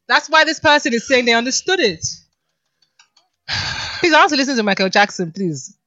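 A woman's voice: high-pitched, lively intonation, normal speed, sharp tone. A Nigerian woman co-hosting a casual radio show, teasing and laughing a lot as she talks. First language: a Nigerian language. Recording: dull lo-fi broadcast sound.

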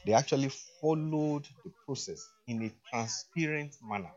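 A man, a deputy head of school, answering interview questions slowly with many fillers. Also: medium pitch, darkish tone. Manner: slow, halting, with many fillers. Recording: dull lo-fi sound.

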